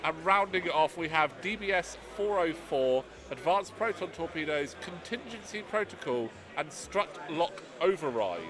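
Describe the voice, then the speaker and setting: Loud British man commentating live. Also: on the high side, lively intonation, normal speed, few pauses. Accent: British English. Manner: loud and chatty, self-deprecating. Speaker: tournament commentator and host. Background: crowd chatter of a tournament hall.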